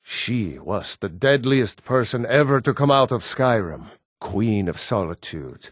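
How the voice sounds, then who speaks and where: A man voicing an earnest Nord townsman in a fantasy video game, speaking scripted lines in a measured, formal way. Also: lowish voice, big pitch swings, husky, no room sound, studio quality, heavily acted. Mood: matter-of-fact.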